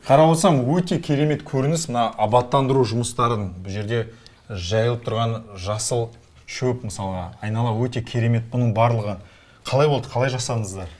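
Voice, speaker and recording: A man's voice: mid-range voice, brisk, darkish tone. A man from Kazakhstan presenting and interviewing as a podcast host, in an upbeat style.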